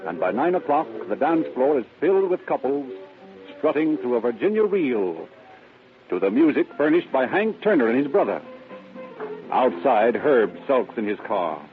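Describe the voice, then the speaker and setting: An actor serving as the American narrator of an old-time radio crime drama, reading formally and dramatically. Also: male voice, medium pitch, sing-song, moderate pace, faint music behind, lightly acted. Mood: grave, dramatic. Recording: tinny old radio recording.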